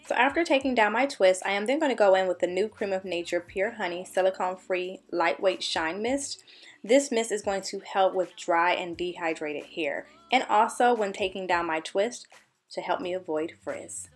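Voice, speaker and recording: A woman's voice: medium pitch, moderate pace, faint music behind. A young woman beauty vlogger narrating a scripted tutorial voice-over in an upbeat, enthusiastic manner. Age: young adult.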